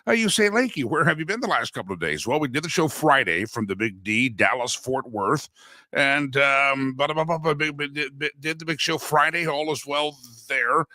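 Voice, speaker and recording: Folksy male talk host, congested from a cold, bright and crisp, dry studio sound. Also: medium pitch, expressive, moderate pace, no echo. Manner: folksy, chatty, many restarts and asides. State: stuffy head cold, voice a bit sore. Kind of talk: casual political talk show monologue. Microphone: studio microphone.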